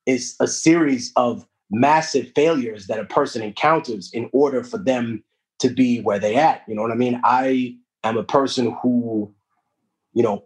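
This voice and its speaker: Husky-voiced man from Peru, storytelling. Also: mid-range voice, poor audio. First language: Spanish. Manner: reflective storytelling, street slang, animated asides. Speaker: guest. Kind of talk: casual podcast conversation.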